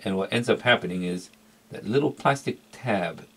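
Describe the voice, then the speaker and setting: A middle-aged man with a very low voice and plenty of gravelly fry talks through a product review off the cuff. He rambles a little, hesitating and doubling back on words for emphasis, in the casual way of a hobby collector. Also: normal speed, slight background noise, poor audio. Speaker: vintage electronics collector and reviewer.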